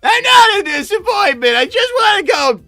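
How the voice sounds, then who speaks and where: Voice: extremely high pitch, sing-song, normal speed, sharp tone, exaggerated voice. A performer voicing a flustered, defensive young male fox in improvised live roleplay, stammering and protesting in a whiny way. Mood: indignant, whiny. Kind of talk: live improvised character roleplay, reading donor messages.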